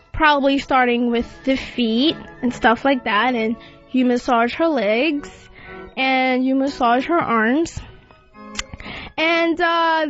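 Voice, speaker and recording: Woman, talk show host, high-pitched, unembarrassed, casual delivery, muffled lo-fi recording. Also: a bit bright. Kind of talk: casual radio chat. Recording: dull lo-fi audio, faint music.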